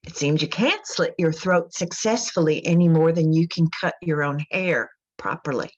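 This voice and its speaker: A woman playing a haunted Southern mother in a radio drama monologue, telling her confession in a folksy, intense, deliberate way. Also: medium pitch, highs cut off.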